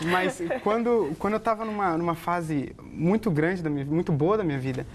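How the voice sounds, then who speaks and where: A man's voice: high-pitched, normal speed, slight background noise. A young man with a Brazilian Portuguese accent, a theology student telling his story in a hesitant, earnest way. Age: young adult. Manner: hesitant, self-correcting, earnest. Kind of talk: casual personal testimony.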